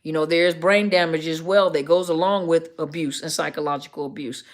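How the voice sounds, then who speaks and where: A middle-aged woman with a deep, scruffy, gravelly voice, a counselor chatting casually to viewers on a live stream and often correcting herself with ums. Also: steady tone, a little fast. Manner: rambling, self-correcting, many ums.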